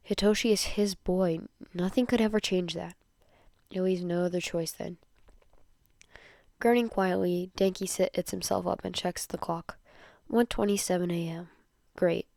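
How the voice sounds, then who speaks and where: Woman, amateur story narrator, slightly low voice, expressive, occasional stumbles, dry recording. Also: no room sound. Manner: stumbles over words and rereads them. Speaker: podfic narrator. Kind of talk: expressive amateur fan fiction reading.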